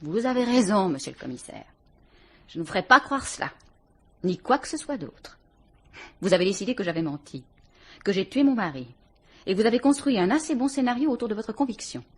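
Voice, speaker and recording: An actress playing a guarded widow suspected of murder, answering a police inspector with cool sarcasm in a vintage radio drama. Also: female voice, medium pitch, sing-song, brisk, pauses often, darkish tone, breathy edge. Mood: defiant.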